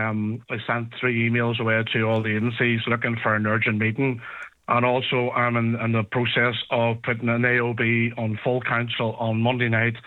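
A man, a local councillor on a radio phone-in, speaking earnestly with lots of fillers. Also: mid-range voice. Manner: earnest, repetitive, filler-heavy. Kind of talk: phone-in interview. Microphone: telephone. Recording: phone line.

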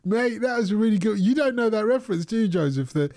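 A man hosting a radio phone-in, teasing his co-hosts and callers in jokey, quick back-and-forth banter. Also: high-pitched, animated, mellow, no room sound. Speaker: radio show host.

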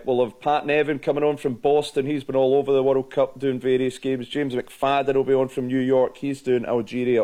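A man's voice: medium pitch, dark tone. A Scottish man, a radio sports presenter, talking earnestly on a remote link in casual radio chat.

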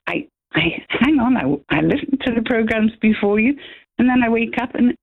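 Husky, creaky older woman caller. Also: fairly high pitch, expressive, moderate pace, phone-quality sound. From Scotland. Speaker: radio phone-in caller. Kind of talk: casual chat.

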